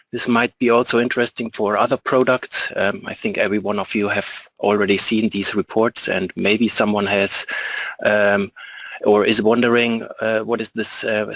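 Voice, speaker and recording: German-accented man presenting a software webinar. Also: medium pitch, no echo, rough recording. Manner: hesitant, many ums and uhs. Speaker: product support specialist. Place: office.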